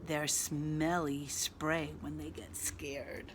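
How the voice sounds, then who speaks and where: A woman teacher reading a picture book aloud to young children, animated, pausing to explain things simply. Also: sits low, noisy background. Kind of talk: expressive picture-book read-aloud with asides.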